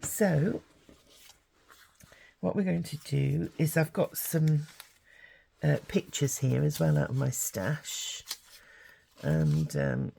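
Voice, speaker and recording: An older woman, croaky with hay fever, chatting casually as she works through a craft tutorial. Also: deep voice, takes it slowly, long pauses. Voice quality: gravelly. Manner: chatty, relaxed, thinking aloud. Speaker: craft channel host.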